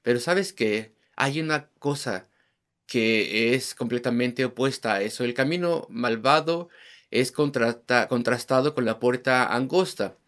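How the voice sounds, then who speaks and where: A man's voice: medium pitch, unhurried, a bit bright. A man preaching an evangelistic sermon, driving his points home with rhetorical questions and repeated words in lists.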